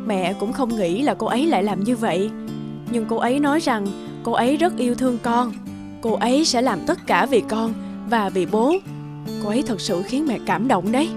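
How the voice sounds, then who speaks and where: A woman's voice: fairly high pitch, animated, moderate pace, music in the background, natural voice. A woman voicing a loving, apologetic mother in a cartoon. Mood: tender, apologetic. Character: loving, apologetic mother who lives abroad. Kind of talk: cartoon voice acting.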